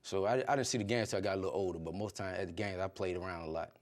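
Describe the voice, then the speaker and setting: A man's voice: low-pitched, very fast. A middle-aged man from Virginia, a football coach chatting casually as an interview guest in colloquial speech. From Virginia, United States. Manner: colloquial, peppered with "you know". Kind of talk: casual chat.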